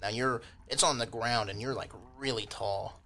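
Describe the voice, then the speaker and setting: A man's voice: mid-range voice, normal speed, pushed and bright. A man acting as game master, narrating a tabletop game in a casual, loosely improvised way. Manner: informal, loosely improvised descriptions.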